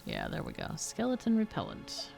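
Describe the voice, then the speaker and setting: A woman's voice: medium pitch, huge pitch swings, normal speed, creaky, darkish tone, soft background music. A woman chatting casually and thinking aloud as she plays a game on a livestream. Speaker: streamer and game player. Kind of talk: casual livestream gameplay commentary.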